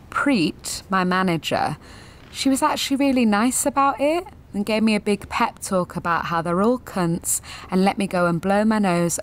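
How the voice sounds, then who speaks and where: A woman's voice: medium pitch, a little hiss or hum. An actress in her twenties playing a jaded, sweary, sensitive gallery attendant in a confessional audio drama monologue, wry and self-deprecating. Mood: rueful. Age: 20s.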